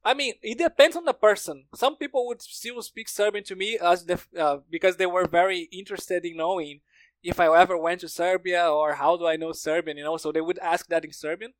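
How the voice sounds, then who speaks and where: A man's voice: high voice, fairly quick, no echo, low-quality recording. A man from Brazil with a Brazilian accent, a native Portuguese speaker, chatting casually and telling stories with plenty of "like" and "you know". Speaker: podcast speaker, language learner. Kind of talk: casual conversation.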